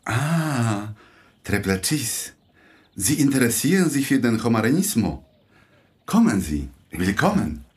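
An actor playing a humble, idealistic doctor and humanist in a radio drama, speaking earnestly and courteously. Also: male voice, mid-range voice, sing-song, slow pace, breathy edge, full character voice. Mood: welcoming. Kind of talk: German radio drama acting.

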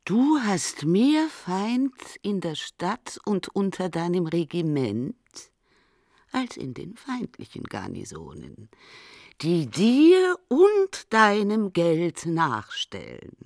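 An actress playing a mysterious fortune-teller in a radio play, giving knowing, ominous warnings. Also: female voice, on the low side, huge pitch swings, slow pace, creaky, darkish tone, dry recording, clean studio sound, heavily acted.

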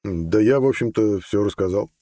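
A man's voice: on the low side, normal speed, mellow, no room sound, studio quality, close to an everyday voice. A man voicing a blunt, ambitious army colonel, plain-spoken and earnest, in an audiobook. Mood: apologetic. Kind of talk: audiobook character dialogue.

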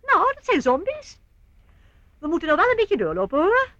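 A woman's voice: very high voice, wildly swooping pitch, normal speed, fairly bright tone, dry recording, dull audio, exaggerated voice. An actress playing a cheerful, eccentric elderly aunt in a radio play, breezy and fondly condescending, with chuckles in her speech. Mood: brisk, teasing.

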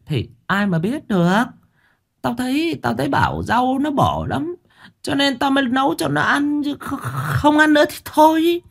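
A man doing a shrill scolding village wife. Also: big pitch swings, moderate pace, gravelly, fairly bright tone, full character voice. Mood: dismissive. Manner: whining, scolding, wailing. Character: sharp-tongued older village wife, sulky.

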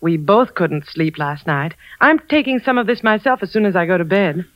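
An actress playing a frightened, evasive wife with a hesitant, pleading manner in a 1940s radio drama. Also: female voice, fairly low pitch, expressive, moderate pace, dry recording, lightly acted. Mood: soft, soothing. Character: frightened, evasive, secretive wife with a fragile sweetness. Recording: dull, muffled 1940s radio recording.

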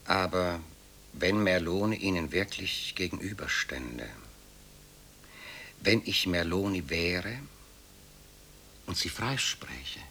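An actor playing an earnest young man who speaks gravely, hesitantly and politely in a German radio drama. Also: low voice, slow pace, long pauses, sharp tone, full character voice. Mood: probing, grave. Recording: hissy 1960s radio play recording.

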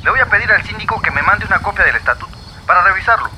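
Irritable male schemer, high piercing voice, bossy, radio drama, slight background noise. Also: animated, racing, bright, forceful tone, full character voice, rough recording. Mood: irritated, scheming. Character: irritable, scheming village strongman plotting against opponents.

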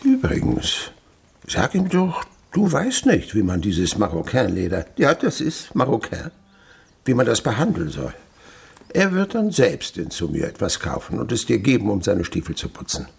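An actor playing a miserly old French father in a German radio play, grumbling, domineering and gravelly. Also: on the low side, wildly swooping pitch, moderate pace, full character voice. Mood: instructing, dry. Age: older. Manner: grudging, stammers at times.

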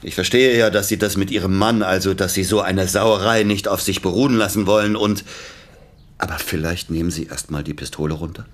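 An actor in a German radio play playing a courteous, uneasy businessman with a husky voice and formal manner. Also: deep voice, steady tone, fairly quick, lightly acted. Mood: placating. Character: polite, uneasy businessman caught as reluctant witness.

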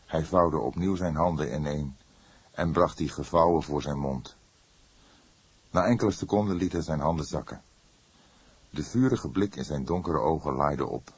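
Guy narrating a detective audiobook, low husky voice, measured dramatic storytelling. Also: no room sound. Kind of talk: scripted narration of a detective novel.

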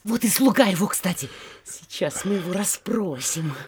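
A woman's voice: mid-range voice, lively intonation, normal speed, darkish tone, husky. An actress playing a nosy, flighty provincial lady in a comic audio play, inquisitive and coquettish, with chattering hesitations. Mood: curious, coquettish. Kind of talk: theatrical audio play of classic Russian comedy.

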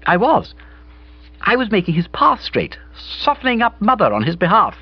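A man doing a breezy high young woman. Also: wildly swooping pitch, breathy edge, highs cut off, heavily acted. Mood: brisk. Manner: breezy, brisk, self-assured. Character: lively fun-loving young upper-class woman.

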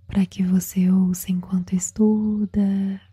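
A woman with a Brazilian accent whispers in a soothing ASMR style as she guides relaxation and tells a bedtime story, with long pauses. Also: mid-range voice, level tone, dark tone, dry recording, clean studio sound. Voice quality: breathy, whispery. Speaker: guided meditation host and storyteller. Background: background music.

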